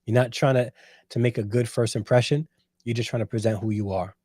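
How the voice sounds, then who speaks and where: A man's voice: mid-range voice, fairly even intonation, fairly quick, dark tone, no echo. Playful man in his forties, dry sound. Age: 40s. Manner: playful, teasing banter. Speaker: host reading live chat. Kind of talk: casual livestream chat.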